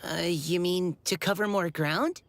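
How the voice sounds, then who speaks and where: A woman's voice: fairly low pitch, a little slow, lightly acted. Woman doing a shy anxious knight kid, very polite, anime game, music behind. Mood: nervous. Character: shy, nervous, dutiful young knight boy. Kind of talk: anime video game voice acting. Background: game background music.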